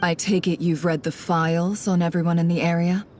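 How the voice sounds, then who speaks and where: A woman playing a shrewd, self-assured female investigator in a video game, speaking in measured, polite phrases. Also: fairly low pitch, level tone, darkish tone, slight background noise, close to an everyday voice. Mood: composed, confident. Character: composed, shrewd female investigator, posing as a lost trader. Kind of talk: scripted video game voice acting.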